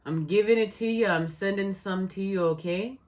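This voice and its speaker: A woman giving a spiritual tarot reading, her voice worn and fading, speaking in slow, soothing, repetitive phrases. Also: medium pitch, darkish tone. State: losing her voice. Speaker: tarot reader.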